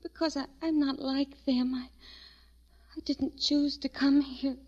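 A dull old radio drama recording of a timid young servant girl. Her voice sits high and comes haltingly, gentle and pleading. She sounds unhappy and afraid. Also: fairly even intonation. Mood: tearful, unhappy. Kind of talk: 1940s radio drama acting.

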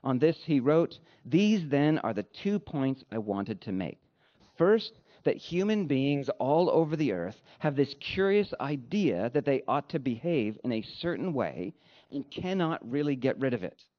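A man preaching a sermon as a pastor, with an emphatic, measured rhetorical delivery. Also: mid-range voice, big pitch swings, muffled recording. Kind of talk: sermon with read-out quotations.

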